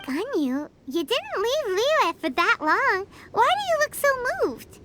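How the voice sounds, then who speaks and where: Little squeaky sidekick, super high and bouncy, teases a lot. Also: female voice, big pitch swings, a little slow, sharp tone, slight background noise, full character voice. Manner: bouncy, teasing, exclamatory. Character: tiny chirpy female companion, cheeky.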